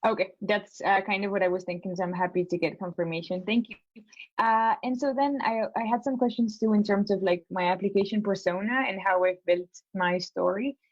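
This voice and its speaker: A woman's voice: mid-range voice, steady tone, brisk, no echo, dull audio. A young woman from Spain, a law school applicant, talking through her plans in a casual consultation call, hesitant with many fillers and restarts.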